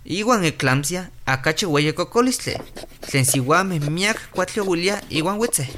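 An actor playing a calm clinic doctor in a radio drama, explaining and reassuring. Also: mid-range voice, a little slow, a little hiss or hum. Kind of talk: radio drama narration and acting. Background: music.

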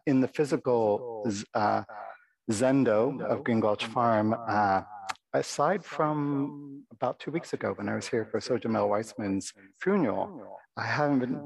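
A middle-aged man, an abbot, giving a soft-voiced, reflective dharma talk in a meditation hall, pausing often with fillers. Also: medium pitch, vocal fry. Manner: reflective, halting, many fillers.

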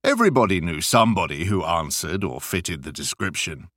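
A man narrating literary essays as an audiobook, with expressive, deliberate and theatrical phrasing. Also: low voice, dry recording, studio quality.

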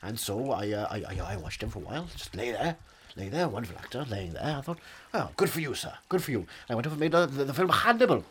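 A man's voice: mid-range voice, very animated, fast, a bit bright, husky. A man improvising a rambling, mock-pompous persona who keeps calling everything wonderful. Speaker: comedic improviser in character.

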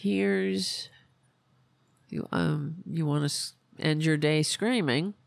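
A hoarse woman hosts a political podcast, speaking slowly with a gravelly, creaky edge to her voice. She rambles, swears and loses her train of thought. The sound is dry and close. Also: sits low, no room sound. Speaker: podcast host. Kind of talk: casual political rant.

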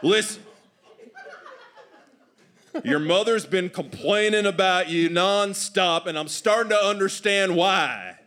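A man acts out a tired fairy-tale father at the end of his patience. He scolds loudly in a Southern US drawl, pitched up in comic exasperation. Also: takes it slowly, pauses often. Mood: exasperated, scolding. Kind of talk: improvised comedy storytelling acting.

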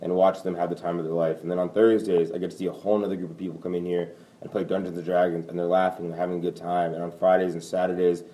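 A young man with a deep baritone voice, talking casually as a podcast guest, rambling with many fillers. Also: flat delivery, quick pace, mellow, far from the mic. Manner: rambling, many fillers and "you know", laughs easily. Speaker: lounge owner, guest.

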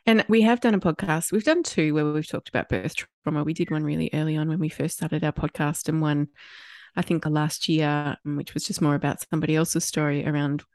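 A woman from Australia talks in a relaxed, chatty way, a little husky and nasal because she is congested from a cold. Her voice sits fairly low and sounds close and dry, with no room around it. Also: brisk, no room sound. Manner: warm, chatty, laughs often. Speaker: podcast co-host. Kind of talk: casual chat.

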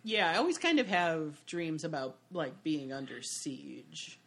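A podcast host from Michigan talking in a relaxed, casual chat. The voice is mid-pitched, and the host listens closely, adding short affirmations and dry humor. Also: measured pace, gravelly.

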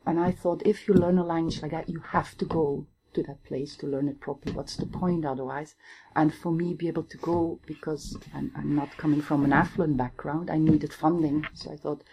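A Flemish woman in her forties, an academic guest talking thoughtfully in an interview, often pausing to search for words. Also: low-pitched, steady tone, noisy background. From Belgium (Limburg, Flanders). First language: Flemish Dutch. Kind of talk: reflective conversational interview.

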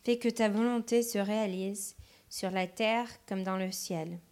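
Slow woman interpreting a sermon. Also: mid-range voice, steady tone, darkish tone. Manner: translating phrase by phrase with hesitations.

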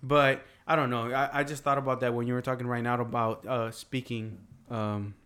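A man whose first language is Spanish, chatting casually with friends, rambling with halting restarts and casual swearing. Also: mid-range voice, level tone, fairly bright tone. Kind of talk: casual chat.

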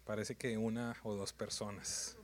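A man's voice: fairly low pitch, flat delivery, unhurried, a little hiss or hum. A man preaching a sermon in numbered points, emphatic and repetitive, often putting questions to his congregation.

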